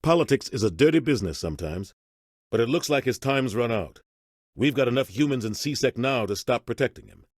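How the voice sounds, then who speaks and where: An actor playing a seasoned military captain in a video game, gruff and measured as he explains things like a mentor. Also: mid-range voice, expressive, no echo, studio quality, lightly acted. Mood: disapproving. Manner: measured, explanatory, matter-of-fact. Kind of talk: video game dialogue acting. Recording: game music underneath.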